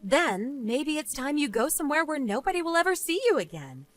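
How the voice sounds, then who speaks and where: A woman's voice: sits high, very animated, a little fast, dry recording. A woman playing a smug, vengeful wife and former bullying victim in scripted story dialogue, taunting and sarcastic. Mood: gloating.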